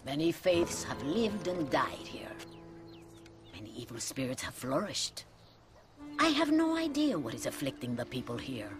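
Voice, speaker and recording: An actress playing a dry-witted older mother superior, speaking in a measured, sardonic way in a video game cutscene. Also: low-pitched, big pitch swings. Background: game score music.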